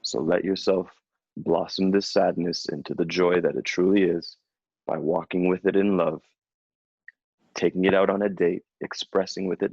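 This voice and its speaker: A man in his thirties, a spiritual coach, giving a slow, soothing reading and then chatting casually with his client. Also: low voice, vocal fry, darkish tone, slightly rough, no echo. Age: 30s. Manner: slow, soothing, affirming delivery. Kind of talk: guided channeled reading, then casual chat. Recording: dull lo-fi sound.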